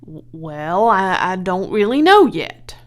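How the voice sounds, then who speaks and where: A woman voicing an earnest, awestruck farmer man in a storybook, grave and halting in speech. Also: lowish voice, very animated. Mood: shaken, solemn. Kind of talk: children's storybook character voice.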